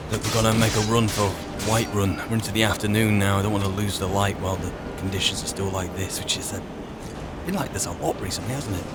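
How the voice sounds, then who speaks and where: A British man with a fairly deep voice plays through a game in character. He talks in a wry, conversational way, half to himself, as he goes. Prominent game music runs behind his voice. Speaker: gamer playing a roleplay character. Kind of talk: in-character game roleplay commentary. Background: video game music and sound.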